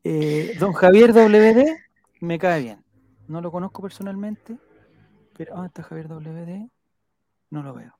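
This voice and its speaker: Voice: mid-range voice, a little slow, long pauses, mellow, dry recording. Chilean streamer host chatting casually, jokey n rambly, reading out comments, really dry room. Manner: rambling, repeats names while jotting them down; playful, joking.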